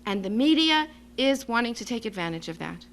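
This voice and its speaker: A woman gives a semi-improvised public speech as an advocate, making her points emphatically and deliberately in short phrases. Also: on the high side, big pitch swings, a bit bright. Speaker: advocacy group speaker at a symposium. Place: symposium venue. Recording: noisy live speech recording with hum.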